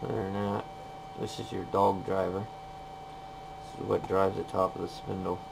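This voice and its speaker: A man's voice: deep voice, little pitch movement, normal speed. A man giving a casual, plain-spoken hands-on repair walkthrough in short, informal phrases. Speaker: machinist demonstrating a repair. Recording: clear background noise, workshop ambience.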